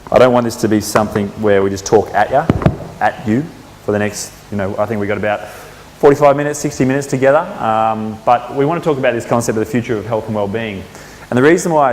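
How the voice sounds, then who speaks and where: A mid-pitched man hosts an event in a casual, chatty way, drawing the audience in with questions. His voice rings through a reverberant hall. Faint room noise sits underneath. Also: a little mellow. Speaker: event host. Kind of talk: casual facilitating at a networking event.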